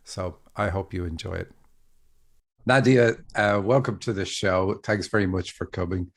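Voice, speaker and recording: Gravelly low-voiced male podcast host. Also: moderate pace. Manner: chatty, laughs easily. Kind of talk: casual interview.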